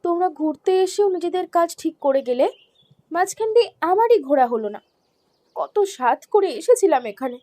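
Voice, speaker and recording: A woman's voice: very high-pitched, animated, normal speed, warm tone. An actress playing a peevish wife complaining plaintively about her holiday in an audio drama. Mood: sulky, complaining.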